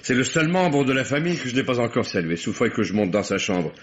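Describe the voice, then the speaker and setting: An actor playing a suave, cynical nephew in an old French radio mystery, mock-courteous and ironic. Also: male voice, medium pitch, very animated, fast, husky. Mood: ironic, unruffled. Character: suave, cynical nephew with dry mocking wit. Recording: dull vintage radio sound.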